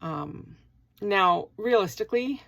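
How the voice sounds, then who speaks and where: A woman's voice: mid-range voice, animated, slow pace, gravelly, fairly bright tone. A woman in her forties from Canada, talking casually to camera in a rambling vlog with plenty of ums and restarts. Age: 40s. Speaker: vlogger, part-time university student.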